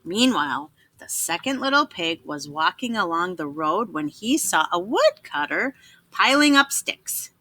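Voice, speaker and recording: A woman storyteller giving an expressive, dramatic read-aloud narration for children. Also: lowish voice, a little slow.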